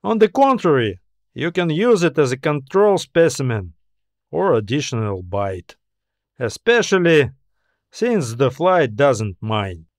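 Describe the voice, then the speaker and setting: A man narrating a science fiction story as a scripted audiobook, in a measured storytelling manner with phrases that trail off. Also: mid-range voice, sing-song, dry recording, clean studio sound.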